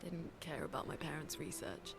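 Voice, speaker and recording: Casting: serious scholar for game cutscenes, slightly low voice, dry and measured, strong music bed. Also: female voice, very animated, vocal fry, heavily acted. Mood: reminiscing. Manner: measured, matter-of-fact, dry. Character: serious, reserved adult woman, scholarly researcher. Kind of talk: naturalistic video game cutscene acting. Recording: background music over dialogue.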